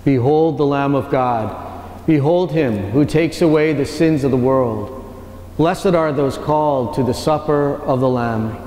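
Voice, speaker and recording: A man serving as priest, leading the prayers of Mass in a measured, solemn way. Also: mid-range voice, dark tone. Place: large cathedral. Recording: echoey cathedral with long reverb, faint noise.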